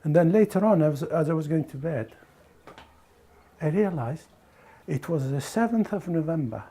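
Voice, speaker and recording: A man's voice: on the high side, lively intonation, moderate pace, mellow. A middle-aged man from Algeria, not a native English speaker, chatting casually and hesitantly with repeated words. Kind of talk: casual conversational recollection. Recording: clearly noisy documentary location sound.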